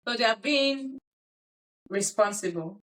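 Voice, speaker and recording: A woman with a Nigerian accent argues her case in a lighthearted podcast debate, earnest and animated. Her voice sits on the higher side, and she keeps a mock-formal courtroom politeness. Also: a little slow. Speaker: co-host arguing the defense in a mock trial.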